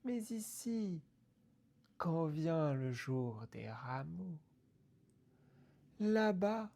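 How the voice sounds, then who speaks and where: A woman reciting poetry theatrically, deliberately, in short halting phrases that sometimes fall away toward a whisper. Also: deep voice, very animated, gravelly, mellow.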